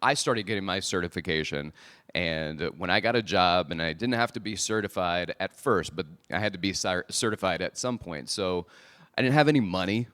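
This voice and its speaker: A man's voice: deep voice, clean tone. A man hosting a podcast live at a conference, chatting energetically with the audience from a handheld mic. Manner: energetic, chatty presenter style with quick asides. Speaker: podcast host. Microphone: handheld microphone.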